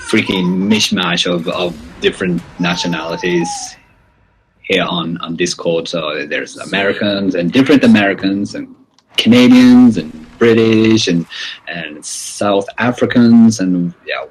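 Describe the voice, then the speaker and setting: A man's voice: low voice, a little hiss or hum, rough recording. A man from Sweden, chatting casually while streaming a game, often stammering and restarting his sentences. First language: Swedish. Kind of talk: casual gaming chat.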